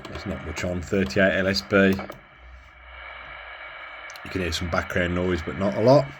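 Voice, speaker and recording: A man's voice: low-pitched, fairly even intonation, moderate pace. A man giving a casual hands-on demonstration of gear, rambling a little and thinking aloud as he works. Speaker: radio hobbyist presenter. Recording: clear background noise.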